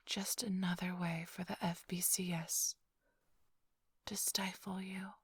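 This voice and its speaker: Woman playing a breathy, whispering vampire seductress. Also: fairly low pitch, level tone, takes it slowly, dry recording, studio quality, exaggerated voice. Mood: hushed, appraising. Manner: teasing, drawling, seductive. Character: flirtatious, teasing, smug female vampire thief. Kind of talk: whispered ASMR roleplay. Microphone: close-up studio microphone, dry.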